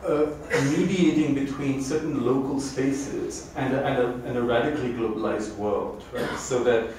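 A man's voice: medium pitch, steady tone, normal speed, darkish tone. A man in his fifties moderates, building his questions with a thoughtful, academic air. He speaks into a handheld mic on a stage. The recording is distant and echoey, with a faint hiss. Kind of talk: interview questions. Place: lecture room on a stage. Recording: echoey room, recorded from afar, faint noise.

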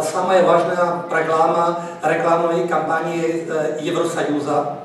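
Higher-voiced man, halting speech. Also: a little hiss or hum. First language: Czech. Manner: halting, apologetic about his Russian. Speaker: association chairman. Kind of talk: formal presentation. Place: large conference hall. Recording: echoey hall from far off.

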